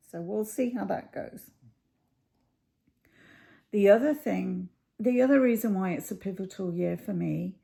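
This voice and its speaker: A middle-aged woman with a croaky voice, chatting casually to camera at home, rambling with many ums and pauses. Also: on the low side, dark tone. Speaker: cross stitch YouTuber.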